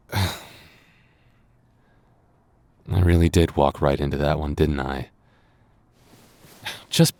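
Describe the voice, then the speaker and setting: A man's voice: rumbling low, darkish tone, dry recording. A man voicing a smitten, flustered, playfully submissive young man in a boyfriend roleplay audio, stammering shyly and hesitantly. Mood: bashful teasing. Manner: stammering, hesitant, breathy sighs and shy chuckles. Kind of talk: boyfriend ASMR roleplay acting.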